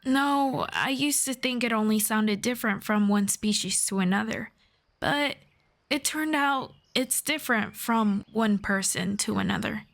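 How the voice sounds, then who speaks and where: Woman acting as long-lived elf friend, gentle, earnest, soft-spoken, lightly high pitch, very unhurried delivery, ASMR audio roleplay. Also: creaky. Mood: gentle, reflective. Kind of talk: slow scripted ASMR roleplay.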